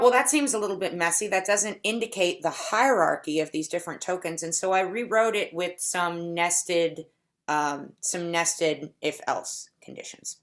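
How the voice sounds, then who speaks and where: A woman giving a conference talk in a deliberate, explanatory way, slowing down to stretch key words. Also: lowish voice, a bit bright. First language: English. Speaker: conference speaker. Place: home setup for a virtual conference.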